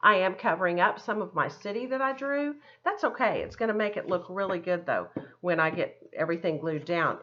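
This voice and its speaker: A woman's voice: lowish voice, a bit bright, dull audio. A woman art teacher talking children through a craft step by step in a chatty, encouraging, casual way. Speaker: art teacher giving a demonstration.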